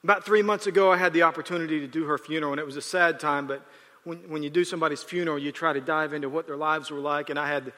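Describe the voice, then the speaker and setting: Male pastor, fairly high, bright, forceful voice; rambling conversational sermon with long hall reverb. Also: fairly even intonation, rapid-fire. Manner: rambling, self-correcting, laughs at times.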